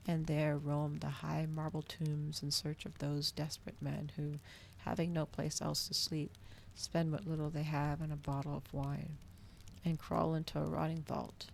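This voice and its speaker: A woman reads a story in a cozy ASMR style. Her voice is low-pitched and noticeably creaky, with a soft delivery that drifts toward whispering. There is faint noise behind her. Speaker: streamer reading a novel aloud.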